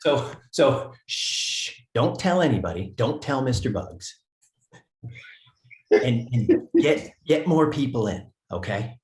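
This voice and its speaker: A man's voice: mid-range voice, sing-song, slow, a little mellow. Upbeat man co-hosting a livestream, echoey room. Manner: upbeat, reads names from chat, laughs often. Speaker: company livestream co-host. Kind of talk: casual promotional livestream chat.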